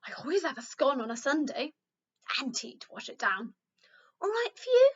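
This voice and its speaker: A woman's voice: high voice, very animated, normal speed, dull audio. A woman voicing a kindly, chatty old lady in a storybook, with a merry, reassuring manner. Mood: cheerful chatting.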